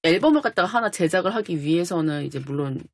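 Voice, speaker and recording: A woman's voice: on the low side, animated, fast talker, no room sound. A middle-aged woman co-hosting a talk show in casual, exclamatory chat.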